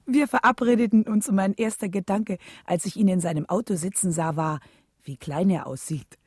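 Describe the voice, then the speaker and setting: Actress as a mellow, husky, reflective wife. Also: female voice, medium pitch, normal speed, dry recording, studio quality, natural voice. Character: reflective, devoted wife recalling her husband. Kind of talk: German radio drama narration.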